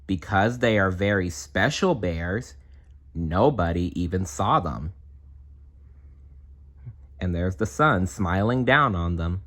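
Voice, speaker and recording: Slow, animated man reading a storybook. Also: deep voice. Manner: expressive, simple explanations for young listeners. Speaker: storybook reader. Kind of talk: children's read-aloud with casual asides.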